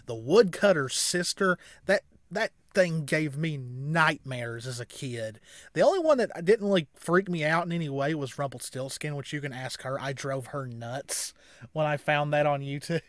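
A man in his thirties giving casual, rambling reaction commentary as a co-host, halting and repeating words as he explains. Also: a little high, expressive, no room sound. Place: small indoor room at home.

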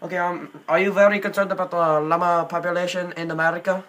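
Noisy recording, halting, earnest Indian man played by a young man. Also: a little high, steady tone, fairly bright tone, lightly acted. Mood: polite, earnest. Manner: halting, apologetic, many ums. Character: polite, earnest Indian man, hesitant homesick prank caller. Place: small bedroom. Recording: noisy amateur phone-video recording.

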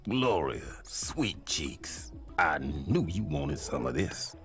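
A man playing a smooth, vain, flirtatious big hippo in a video game, crooning and boasting suavely. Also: rumbling low, big pitch swings, slow pace, fairly bright tone, faint music behind, heavily acted. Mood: boastful flirting. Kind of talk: video game character acting.

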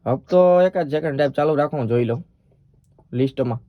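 A man's voice: fairly high pitch, a little fast, dry recording, narrow, tinny audio. A man with a Saurashtra Gujarati accent making a prank call as a polite, persistent bank employee. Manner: polite, persistent, official-sounding. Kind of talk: prank phone call in casual dialect.

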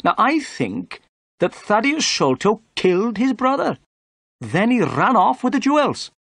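A pompous police inspector in an audiobook mystery, pitched well up and swooping with self-importance. He is brusque and insistent, sure of his own authority. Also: very animated, measured pace, creaky, a bit bright, breathy edge, dry recording, studio quality, full character voice. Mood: pompous, certain.

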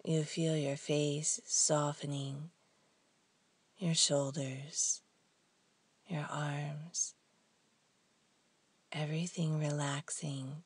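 A woman guides a meditation in a hushed, husky and breathy voice. Her delivery is soft and very even in pitch, with long pauses between short phrases. The sound is close and dry. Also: dry recording.

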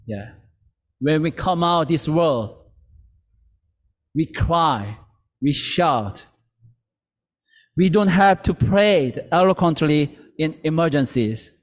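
Slow earnest pastor in a reverberant hall. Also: male voice, fairly high pitch, expressive. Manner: slow, earnest, with halting restarts. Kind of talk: sermon. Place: church sanctuary.

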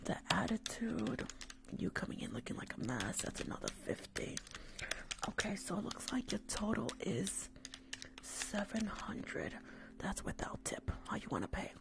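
A woman's voice: medium pitch, sing-song, a little slow, gravelly. A young woman playing a rude, impatient, sassy nail technician in a whispered ASMR roleplay, close to the microphone. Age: young adult. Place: small decorated room indoors. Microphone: fluffy-covered microphone, close up.

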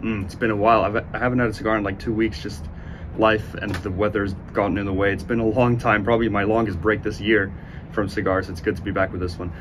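A man in his twenties giving a casual, unhurried review to camera, speaking with enthusiastic praise. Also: on the low side, flat delivery. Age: 20s. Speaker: cigar reviewer, host. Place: outdoors on a sunny porch by windows. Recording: outdoor ambient noise.

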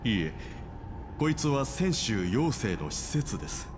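A man's voice: mid-range voice, very animated, a little slow, noisy background. An actor playing an obsequious male servant who speaks in very formal, deferential terms. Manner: servile, formal speech. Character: fawning, overly polite male servant to a villain. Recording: game audio with music behind.

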